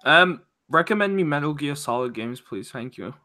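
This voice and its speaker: Bright, higher-voiced man chatting on a podcast. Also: expressive, normal speed. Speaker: podcast co-host. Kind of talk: casual chat about video games.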